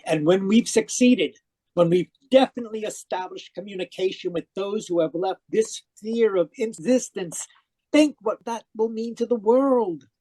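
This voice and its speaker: A man's voice: sits high, moderate pace, warm tone, rough recording. A man playing an obsessed, desperate zealot in an old-time radio mystery, fervent, pleading and intense. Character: obsessed, desperate zealot younger brother turned killer. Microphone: home microphone on a video call.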